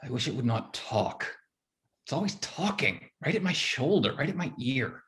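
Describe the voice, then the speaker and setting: A man's voice: medium pitch, very animated, normal speed, a bit bright. A man playing Adam, a peevish first man who wants to be left alone, reading his diary in a complaining way over a video call. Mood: grumpy. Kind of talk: stage reading of a comic play.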